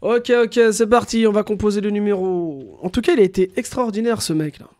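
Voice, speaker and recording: A young man doing a casual live stream of crude prank calls, animated, slangy and prone to swearing. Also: high voice, fairly quick. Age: young adult. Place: small room at home.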